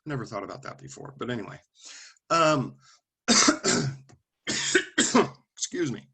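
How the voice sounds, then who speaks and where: A man's voice: medium pitch, lively intonation, slow, bright, forceful tone, slightly rough. Man lecturing a class, thinking aloud. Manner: conversational, thinking aloud with restarts and asides. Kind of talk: classroom lecture with student questions.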